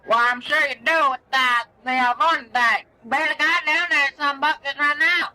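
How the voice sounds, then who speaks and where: A man acting as a befuddled protest organizer phoning into a comic radio newscast. His voice is very high and piercingly sharp, and he rambles loudly down the phone line, muddled about everything. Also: fairly even intonation, normal speed, full character voice. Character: confused, loud male protest organizer on a phone call. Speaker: news call-in guest.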